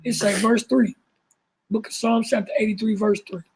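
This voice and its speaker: A man with a high, very husky voice and a gravelly edge reads verses aloud for the group. He takes the words slowly and deliberately. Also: steady tone, darkish tone, low-quality recording. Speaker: panel member reading scripture.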